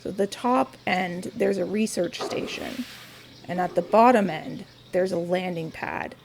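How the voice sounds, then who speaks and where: A woman with a raspy, gravelly voice talks softly and at an unhurried pace in a quiet home studio vlog, dropping wry little asides. A faint hiss of background noise sits under her voice. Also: on the low side. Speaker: crafting vlogger.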